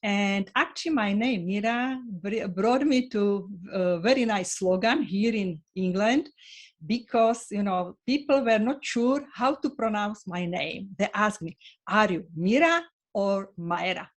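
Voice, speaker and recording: Slovak-accented woman guest, non-native English, sincere and a bit halting. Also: mid-range voice. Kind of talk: online interview.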